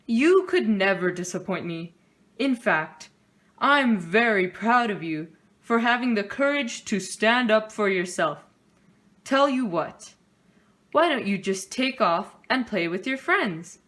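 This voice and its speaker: A woman's voice: mid-range voice, lively intonation, slow, a bit bright. A woman voicing a fond, supportive father bear from a children's storybook, earnest and encouraging. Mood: reassuring.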